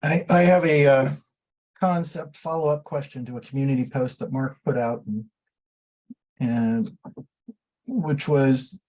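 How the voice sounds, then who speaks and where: A man with a medium-pitched voice speaks slowly and haltingly. He sounds like an informal participant in a technical group discussion. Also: steady tone, a little mellow, low-quality recording. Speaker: community call participant. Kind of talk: casual technical question.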